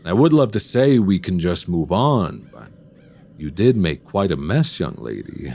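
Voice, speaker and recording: An actor in a radio drama playing a sinister, condescending man who is secretly a werewolf, speaking softly and slowly with menace. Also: low-pitched, big pitch swings, creaky, slight background noise, exaggerated voice. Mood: smooth, creepy flattery. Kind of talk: immersive radio drama acting.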